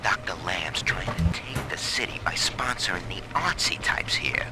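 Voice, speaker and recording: A man's voice: low voice, sing-song, normal speed, in a whisper. A man playing a nervous, sneaky informant confiding in a video game audio diary.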